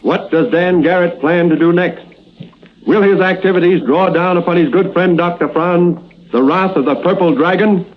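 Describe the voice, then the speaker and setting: A man's voice: sits high, moderate pace. A man narrating a 1940s radio drama in a dramatic, suspenseful way. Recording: dull old radio recording, faint hiss.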